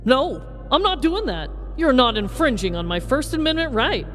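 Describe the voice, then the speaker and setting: Woman as a whiny, defiant young man, raised pitch. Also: very animated, normal speed, in a large hall, soft background music. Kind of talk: comedic true-crime dramatization.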